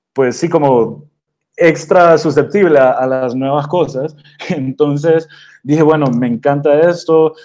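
A young adult man from Honduras, a DJ and producer, telling his story in a relaxed interview with many fillers and restarts. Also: mid-range voice. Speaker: guest DJ and producer. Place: radio studio.

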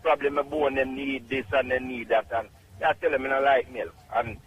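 A middle-aged man from Jamaica with a Jamaican accent, calling in to a radio show and speaking in an emphatic, halting, repetitive way. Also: medium pitch, fairly even intonation, breathy edge, muffled recording. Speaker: caller. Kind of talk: radio call-in testimonial.

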